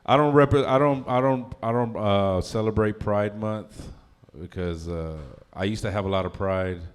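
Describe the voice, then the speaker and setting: A man's voice: fairly low pitch, normal speed, clear, resonant tone. A man performing a crude, rambling stand-up comedy set, often laughing at his own jokes and filling gaps with uh and um. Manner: throws in lots of fillers like uh and um.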